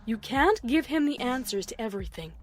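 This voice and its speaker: Woman playing Miss Cheerilee, a stern high-voiced pony schoolteacher. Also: sing-song. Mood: disappointed, admonishing. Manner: measured, admonishing classroom address. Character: stern, disappointed adult female pony schoolteacher. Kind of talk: cartoon fan fiction character acting.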